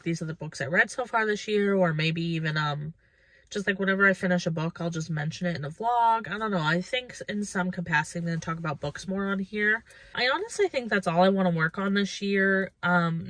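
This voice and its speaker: Bright-voiced thirty-year-old woman vlogger, chatty. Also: lowish voice, no room sound. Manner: informal, conversational, thinking aloud. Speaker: lifestyle vlogger. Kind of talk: casual reflective chat to viewers.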